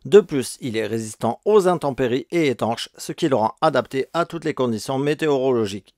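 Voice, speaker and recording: Male narrator for scripted product review voice-over, mid-range pitch, very dry close sound. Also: a little mellow, dry recording.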